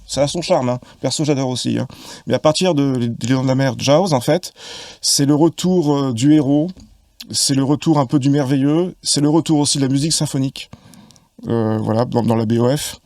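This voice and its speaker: Gravelly man explaining at length. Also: medium pitch, darkish tone, no room sound, clean studio sound. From Paris, France. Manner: long reflective explanations with many hesitations. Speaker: podcast guest, film expert. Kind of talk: casual conversation.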